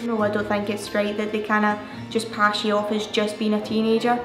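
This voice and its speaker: A woman's voice: mid-range voice, completely flat delivery, a little fast. Frank eighteen-year-old woman. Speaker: young volunteer. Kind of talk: interview talk. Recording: roomy sound over soft music.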